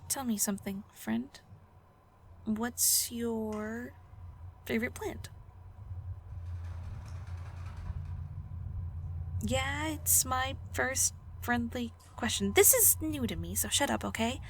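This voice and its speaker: A prickly female alchemist played by a woman speaks in a high voice with a creaky edge, taking her time. She is guarded and awkward, teasing to hide how much she cares, as in an intimate fantasy audio roleplay. There is some background ambience behind her. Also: slight background noise. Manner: flustered, halting, teasing, defensive. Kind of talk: audio roleplay acting, intimate one-sided dialogue.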